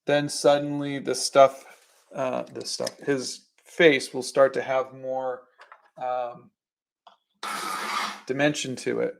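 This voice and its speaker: Man, middle-aged, gravelly, art livestream host, relaxed halting talk. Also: medium pitch. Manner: relaxed, halting with fillers and restarts. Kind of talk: casual chat while painting.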